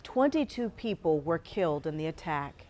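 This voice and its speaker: A woman's voice: deep voice, sing-song, moderate pace, a little mellow. A woman with an American accent reading scripted television news as an anchor.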